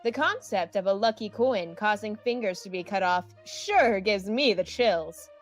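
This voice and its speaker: A woman's voice: mid-range voice, animated, a little slow, faint music behind, lightly acted. A woman playing a radio host, introducing programs in a scripted announcer style. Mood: presenting. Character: radio announcer hosting an anthology evening.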